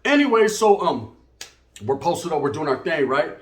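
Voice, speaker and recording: A man with a fairly high voice and a Chicano Californian accent, recorded in an echoey room. He tells a comic story casually, full of street slang and bits of Spanish. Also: sing-song, moderate pace. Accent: Chicano Californian American. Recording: roomy, echoey sound.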